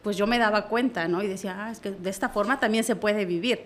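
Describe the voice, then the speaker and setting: A woman, a business consultant guest telling stories in a lively, chatty way with frequent tag questions. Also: medium pitch, fast, slight background noise. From Mexico. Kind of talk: casual interview storytelling.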